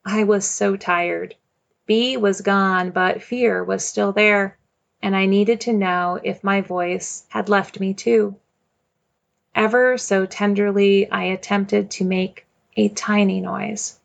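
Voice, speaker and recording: Creaky-voiced woman telling a personal story. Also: mid-range voice, steady tone. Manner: measured, reflective, with a repeated refrain.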